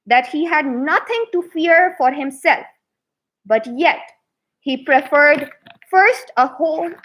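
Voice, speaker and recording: Female children's storyteller, high pitch, slow pace, expressive read-aloud narration, deliberate emphasis. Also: poor audio.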